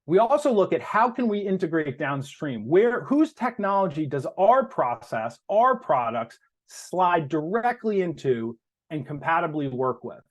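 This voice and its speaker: Male corporate presenter, slightly high voice, lively intonation, deliberate emphasis on key terms, explanatory earnings-call delivery.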